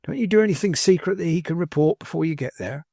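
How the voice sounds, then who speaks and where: Man voicing an emphatic working-class manservant, crisp and dry. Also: on the high side, fast, darkish tone, no room sound, pitched above the performer's own voice. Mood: coaxing, encouraging. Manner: emphatic, colloquial, ungrammatical, insistent. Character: cheery working-class young manservant, loyal, bold, scornful orator. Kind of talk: dramatised audiobook character dialogue.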